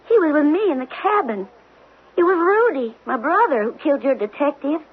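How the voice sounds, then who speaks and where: Casting: high-pitched, desperate woman defending her lover, emphatic, old radio play on a tinny broadcast. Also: expressive, normal speed. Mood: desperate pleading. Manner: pleading, emphatic. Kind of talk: old-time radio drama acting.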